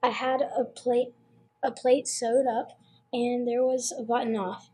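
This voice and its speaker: A woman playing a humble, anxious male suspect in an amateur radio play, halting and apologetic in plain folksy speech. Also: fairly high pitch, level tone, a little mellow, husky. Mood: meek, anxious.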